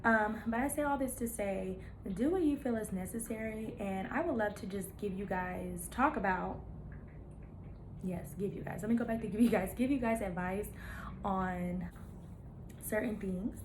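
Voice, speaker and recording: A woman's voice: mid-range voice, moderate pace, slight background noise. A woman in her thirties chatting casually to her viewers, encouraging and playful, with laughing asides. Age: 30s. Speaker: nurse practitioner vlogger. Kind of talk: casual chat to camera.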